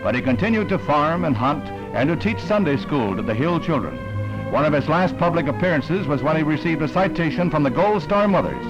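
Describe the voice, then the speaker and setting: A man's voice: fairly high pitch, sing-song, a little fast, muffled recording. An American man reading scripted narration in the style of a 1960s newsreel. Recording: band-limited vintage newsreel film, loud music bed. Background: music.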